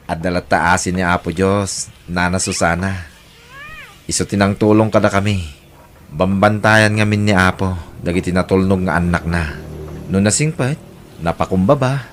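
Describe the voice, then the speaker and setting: A man with an Ilocano accent playing a gentle, devout, humble father in an audio drama, speaking in an earnest, measured way. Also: sits low, fairly even intonation, a little hiss or hum, natural voice. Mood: humble, forgiving. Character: warm, devout, humble elderly Filipino father.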